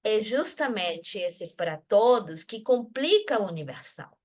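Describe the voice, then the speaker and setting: A woman's voice: medium pitch, sing-song, unhurried, darkish tone. A woman from Venezuela speaking in an academic discussion group, thoughtful, often restarting and adding tag questions. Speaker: discussion participant.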